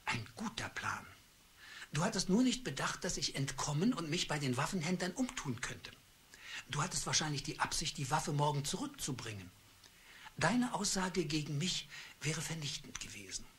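A coldly accusing husband. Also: male voice, on the high side, very animated, fairly bright tone, breathy edge, heavily acted. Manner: cold, accusing, deliberate. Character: bitter middle-aged husband turned cold avenger. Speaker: husband Charles. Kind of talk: radio play acting.

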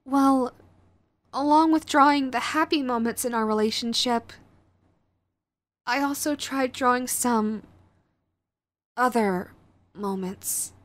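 A woman's voice: on the high side, takes it slowly, pauses often, fairly bright tone. A woman playing a young, sweet, clingy yandere girlfriend in an intimate audio roleplay, with a cutesy, hesitant manner. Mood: sad, hesitant. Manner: cutesy, hesitant, gushing pet names. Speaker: voice actress.

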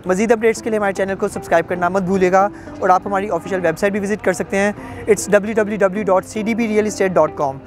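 A man's voice: high voice, steady tone, fast talker, music in the background. Persuasive young Pakistani salesman outdoors. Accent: Pakistani Urdu. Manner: confident, persuasive salesman tone with frequent filler phrases. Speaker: real estate agent. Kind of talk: promotional to-camera sales pitch. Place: outdoors at a construction site.

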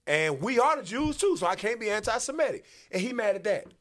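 A man hosts a religious commentary show from a small studio, speaking into a boom mic. He teaches in a preacherly style, his voice a little high and lively, and he keeps calling for scripture to be read. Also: a little fast. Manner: animated, preacherly, calls for verses. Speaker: host and Bible teacher. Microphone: boom-arm studio mic.

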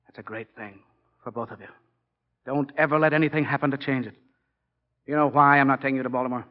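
Man playing a football coach in his forties, fatherly, stubborn, measured plain speech, mid-pitched, 1940s radio drama, dull vintage recording. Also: steady tone, close to an everyday voice. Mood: kindly, frank. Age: 40s.

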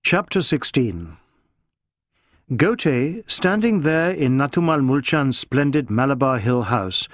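A man's voice: medium pitch, dry recording, studio quality. A man reading a novel aloud as scripted, dramatic audiobook narration.

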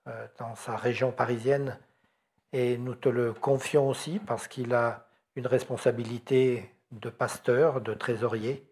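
A man's voice: mid-range voice, fairly even intonation, a little slow, a little mellow. A middle-aged man leading a closing prayer in a small church hall, speaking earnestly and steadily into a handheld mic.

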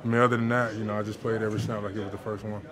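A young football player speaks into reporters' handheld mics in a locker room. His tone is relaxed and easygoing, and the talk is filled with casual fillers. A crowd chatters clearly behind him. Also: lowish voice, racing. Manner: relaxed, laid-back, with filler words and light laughs. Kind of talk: post-game locker room interview. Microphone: handheld reporter microphones.

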